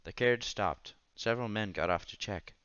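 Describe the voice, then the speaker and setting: Deep, bright-voiced man reading a story aloud. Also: moderate pace, clean tone, no echo, dull audio. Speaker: host of a visual novel read-through. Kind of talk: scripted narration, reading a visual novel aloud. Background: music.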